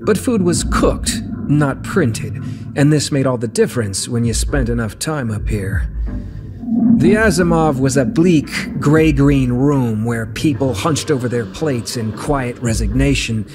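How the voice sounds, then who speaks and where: A male narrator reads a scripted novel in a medium-pitched voice. Music plays clearly underneath his narration. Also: lively intonation, unhurried.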